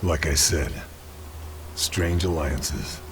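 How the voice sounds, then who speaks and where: Very deep, terse hard-boiled soldier. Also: male voice, extremely slow, creaky, slight background noise, exaggerated voice. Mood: dry. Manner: terse, deadpan. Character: stoic male Allied sniper, terse and hard-boiled. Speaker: protagonist and narrator. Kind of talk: scripted game narration and dialogue.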